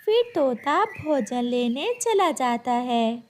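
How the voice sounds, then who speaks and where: A woman's voice: high voice, big pitch swings, slow, a little hiss or hum. A woman reading scripted children's animal stories as a narrator in a storybook style.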